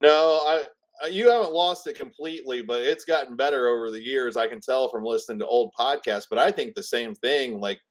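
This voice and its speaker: A man's voice: mid-range voice, brisk. Hesitant man with a faint Southern Indiana lilt. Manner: hesitant, restarts and hedges. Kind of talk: casual group chat.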